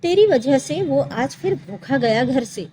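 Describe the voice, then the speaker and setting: Woman narrator as older mother-in-law, scolding, scornful, high pitch, audio story drama. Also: lively intonation, unhurried, warm tone, a little hiss or hum. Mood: angry. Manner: scornful, accusing.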